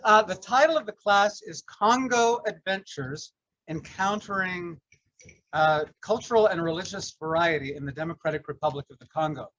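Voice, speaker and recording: An older man with a somewhat high voice, measured and earnest, pausing often on fillers. The sound is dry and dull, with little room around it. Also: a bit bright, dry recording. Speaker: retired English professor, class teacher. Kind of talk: casual class introduction.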